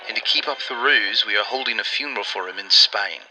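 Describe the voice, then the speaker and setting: An actor playing an earnest, persuasive Allied intelligence operative in a 1940s-style radio drama, explaining things deliberately. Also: male voice, fairly low pitch, sharp tone, faint music behind, poor audio.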